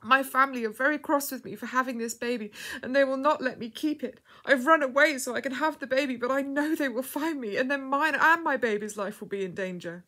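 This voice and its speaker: A woman storyteller plays a frightened young woman in labour, desperate and intense. The voice is pitched high, pleading and tearful, as in a dramatic audio story. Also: brisk, hardly any pauses, pushed and bright. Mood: desperate, weeping.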